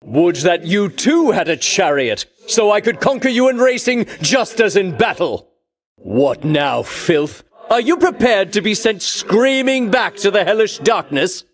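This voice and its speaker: A man's voice: high voice, very animated, measured pace, full character voice. An actor playing a pompous, vainglorious Greek champion in a video game, disdainful of his foes, speaking in grandiloquent, archaic phrases with theatrical delivery. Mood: haughty, taunting.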